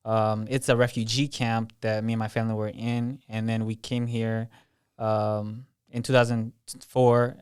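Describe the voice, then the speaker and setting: A man's voice: fairly low pitch, flat delivery, measured pace, darkish tone, clean tone. A man in his twenties from Kenya, telling his life story as an interview guest in a plain, matter-of-fact way. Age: 20s.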